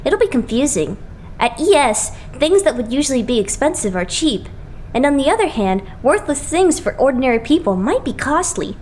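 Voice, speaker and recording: Woman doing excitable young idol, blunt, high voice, anime fandub, slight noise behind. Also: moderate pace. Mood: matter-of-fact. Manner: blunt, expressive. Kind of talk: anime game fandub acting.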